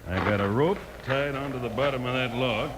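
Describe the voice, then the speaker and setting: An actor playing a sneering, scheming Western outlaw boss who talks tough and gloats, in an old-time radio drama. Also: male voice, mid-range voice, fairly quick, close to an everyday voice. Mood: gloating, scheming. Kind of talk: 1940s-50s Western radio acting. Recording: noisy old radio recording.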